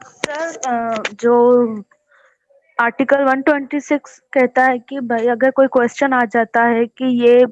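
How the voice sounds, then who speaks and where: A woman's voice: a little high, no echo. A woman with a Pakistani accent reciting an answer in class as a law student, with muffled audio.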